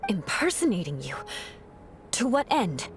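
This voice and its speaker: A woman's voice: mid-range voice, very animated, unhurried. A woman voicing a proud female knight who speaks in a cool, clipped and sardonic way. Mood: wary. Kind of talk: video game voice acting. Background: game music.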